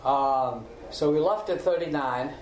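An older man from Austria, a native German speaker, recalling his life story in a halting way with repeated words, recorded in his home kitchen. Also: on the high side, a little mellow, roomy sound, a little hiss or hum, low-quality recording. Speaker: interviewee. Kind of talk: oral history recollection.

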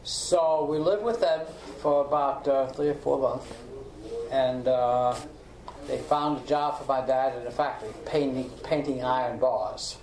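An older man from Austria, a native German speaker, recalling his life story in a halting way with repeated words, recorded in his home kitchen. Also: medium pitch, fairly even intonation, darkish tone, echoey room, rough recording. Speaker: interviewee. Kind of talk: oral history recollection.

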